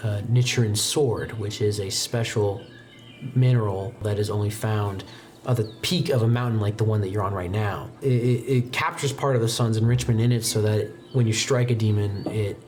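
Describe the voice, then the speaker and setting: A man working as game master, narrating a tabletop roleplaying game in a dramatic, halting way with informal asides. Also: lowish voice, a little mellow, a little hiss or hum.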